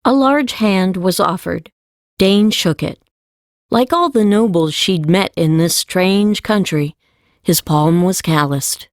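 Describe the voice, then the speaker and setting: A woman's voice: lowish voice, slow, dry recording, studio quality. A woman reading scripted narration for a fantasy audiobook.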